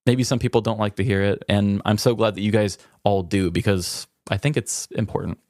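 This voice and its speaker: A man's voice: on the low side, steady tone, a little fast, darkish tone, no room sound, studio quality. A man co-hosting a podcast, talking casually in an earnest, reflective, confessional way. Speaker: podcast co-host.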